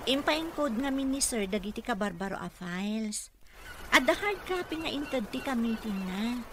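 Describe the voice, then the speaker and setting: A woman's voice: fairly high pitch, animated, moderate pace, lightly acted. A woman acting a chatty, matter-of-fact office clerk in a radio comedy drama, with an Ilocano accent. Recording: clear background noise.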